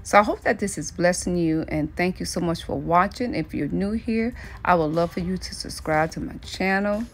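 A woman's voice: on the low side, moderate pace, hardly any pauses, slight background noise. A middle-aged woman, chatting casually and folksily to her viewers as a lifestyle vlogger. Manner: folksy, addresses viewers as friends and y'all. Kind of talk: casual motivational chat to viewers. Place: home kitchen, indoors.

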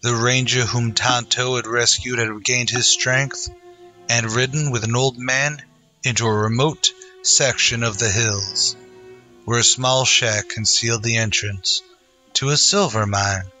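A man delivers dramatic scripted narration in the manner of old-time radio. His voice sits at a medium pitch and has a bright, forceful edge. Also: steady tone, unhurried, soft background music, rough recording.

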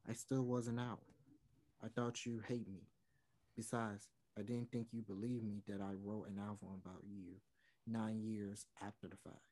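A man's voice: on the low side, flat delivery, normal speed, dark tone, lightly acted. Earnest, regretful young musician, played by a man. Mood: earnest, pleading. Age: young adult. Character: Jamie, regretful closeted male touring musician, pleading for reconciliation. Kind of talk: one-act play read over video call. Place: small room at home, indoors. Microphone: video call.

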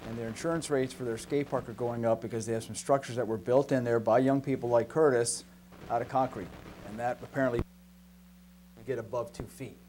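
A man's voice: medium pitch, fairly even intonation, a little fast, darkish tone, rough recording. Male council member, mid-range voice, discussing business in a meeting room with faint background noise.

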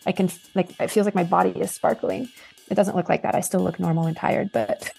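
Woman podcast co-host, gravelly voice, excited casual chat, faint music behind. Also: fairly low pitch, fast. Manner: enthusiastic, chatty with filler words.

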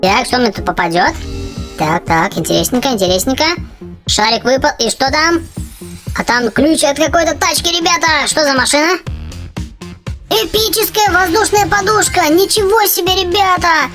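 A gaming channel host giving casual, exclamatory gameplay commentary for children, addressing viewers as friends. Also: high-pitched. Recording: music behind the voice.

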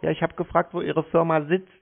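A man's voice: a little high, flat delivery, a little fast, gravelly. Dry, stubborn man on a phone. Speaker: scam-baiter posing as customer. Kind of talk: casual phone conversation. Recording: muffled narrow-band phone line.

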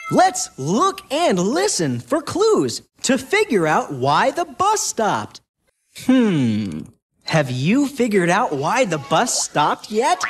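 A man voicing an upbeat young cartoon rabbit who guides children through an educational game, bouncy, encouraging and clearly enunciated. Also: high voice, heavily acted. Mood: cheerful, eager. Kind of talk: animated educational game voice acting.

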